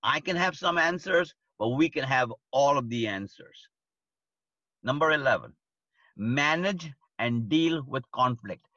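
A man's voice: mid-range voice, animated, a little slow, sharp tone, dry recording, highs cut off. A man giving a livestreamed leadership talk, stressing his points by repeating key phrases. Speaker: leadership speaker.

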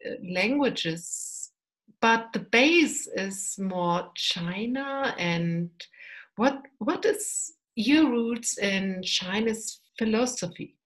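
Slow, hesitant woman interviewer from Germany. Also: medium pitch, expressive, fairly bright tone. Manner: searching for words, hesitant. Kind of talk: conversational interview.

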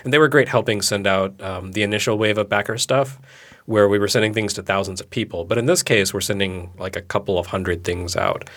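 A man co-hosting a podcast in casual chat, talking in a relaxed, rambling, self-deprecating way with a sleepy-sounding voice. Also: lowish voice, a little fast. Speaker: podcast co-host.

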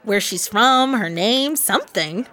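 A woman acting in a radio drama as an impatient, curious, catty high-school girl, sassy and expressive. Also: a little slow. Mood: gossipy, teasing.

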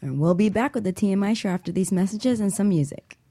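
A woman's voice: mid-range voice, expressive, quick pace, over loud music. A woman co-hosting a casual motivational talk show, making her points emphatically and repeating them for effect.

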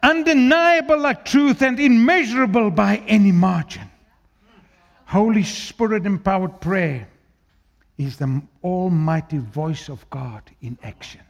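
A man from South Africa with an Afrikaans accent preaching a sermon, swinging between loud declaiming and quiet, low asides. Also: unhurried, vocal fry, lo-fi sound.

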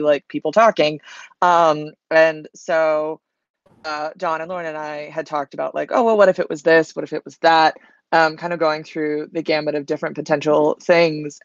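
A woman's voice: sits low, fairly bright tone, no echo. A woman speaking casually as a writer on a panel, thinking aloud in a conversational way. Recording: dull lo-fi audio.

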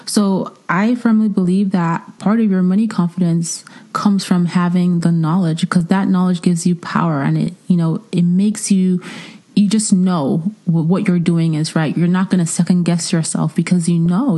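A woman hosting a podcast, chatting casually and in an animated way with a guest. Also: on the low side, normal speed. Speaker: podcast host interviewing a guest. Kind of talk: casual interview chat.

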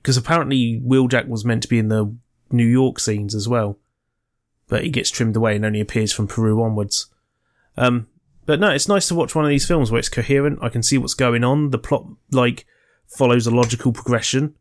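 Swearing, jokey podcast host. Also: male voice, mid-range voice, a little fast. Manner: chatty, jokey, swears freely and teases his co-host. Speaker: podcast co-host reviewing films. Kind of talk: casual chat.

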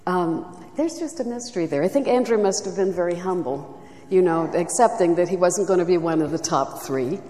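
A woman giving a reflective, soft-spoken teaching talk at a church retreat. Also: fairly low pitch, lively intonation, moderate pace. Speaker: retreat speaker. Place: church hall. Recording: echoey hall recording.

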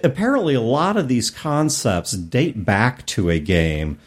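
A man's voice: medium pitch, animated, unhurried. A middle-aged man hosting a podcast, talking casually and explaining things conversationally. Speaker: podcast host.

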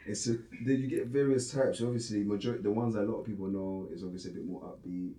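A man's voice: lowish voice, fairly even intonation, quick pace, crowd noise. A man from Congo whose first language is Lingala, chatting and explaining casually as an interviewee. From born in Congo, raised in a Congolese household abroad. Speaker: interviewee, brother of the artist.

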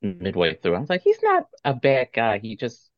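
A man hosting a casual podcast interview, friendly and relaxed, quick to agree. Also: medium pitch, dry recording. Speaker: podcast host. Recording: dry, dull lo-fi mic.

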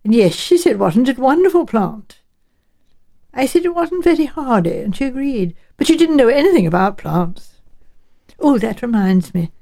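A woman's voice: a little high, very animated, moderate pace, vocal fry, warm tone, exaggerated voice. A woman voicing a shrewd, genteel elderly English village spinster, musing and politely chatty, in an audiobook. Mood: chatty, puzzled.

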